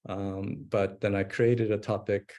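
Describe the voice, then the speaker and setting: A man's voice: fairly low pitch, level tone, measured pace, a little mellow. A man presenting a live webinar demo, thinking aloud as he types, with frequent fillers and restarts. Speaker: presenter demonstrating software.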